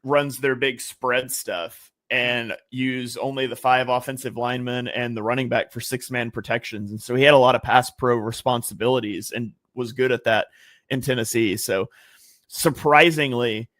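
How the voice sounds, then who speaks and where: A man chatting through draft picks on a sports podcast, working things out as he goes with plenty of ums and restarts. His voice sits at an ordinary middle pitch. The sound is dry and close, with no room around it. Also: fairly even intonation, moderate pace, fairly bright tone, no room sound. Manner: conversational, analytical, many fillers and restarts. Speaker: guest draft analyst. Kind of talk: casual sports podcast chat.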